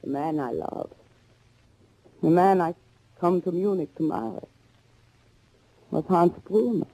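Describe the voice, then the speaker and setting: An actress playing a vengeful, bereaved bride with steely intensity, speaking in melodramatic, deliberate 1940s radio thriller style. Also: female voice, on the low side, vocal fry, dark tone, slight background noise, poor audio. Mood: bitter, grieving. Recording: old 1940s radio recording.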